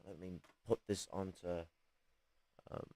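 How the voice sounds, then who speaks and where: A raspy man, chatting casually on a livestream with lots of restarts and casual swearing. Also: low voice, steady tone, slow pace, mellow, no echo. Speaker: streamer.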